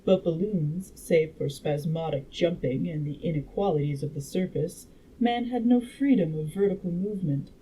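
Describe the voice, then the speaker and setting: A woman reading aloud as a confident Victorian gentleman inventor who lectures in a didactic, assured way. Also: on the low side, normal speed, slightly rough. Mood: challenging. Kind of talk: audiobook-style character reading. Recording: noisy room background.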